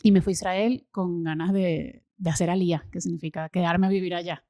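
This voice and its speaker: A Venezuelan woman in her thirties, talking enthusiastically as an interview guest and often pausing with fillers and repeated words. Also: on the low side, steady tone, mellow, dry recording, clean studio sound. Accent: Venezuelan Spanish. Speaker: guest, social impact consultant. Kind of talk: casual interview conversation.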